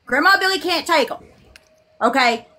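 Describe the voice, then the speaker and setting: A woman's voice: sits high, lively intonation, moderate pace, sharp tone, slight background noise. A woman on a video call from her living room, talking bluntly and emphatically, pressing her point with repeated checks. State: off work, in pajamas. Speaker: livestream guest. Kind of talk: casual livestream chat. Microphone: video call webcam.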